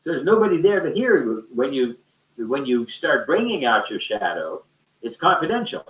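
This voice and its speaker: A man's voice: medium pitch, very animated, normal speed. A man speaking off the cuff in a rambling, colloquial and emphatic way, as a teacher in an online discussion. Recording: dull, echoey, distant-microphone call audio.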